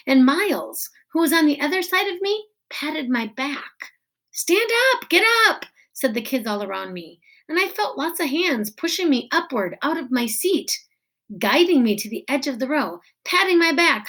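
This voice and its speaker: A woman reading a children's novel aloud in a deliberate, expressive storytelling style with weighted pauses. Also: high voice. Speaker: teacher reading a book aloud.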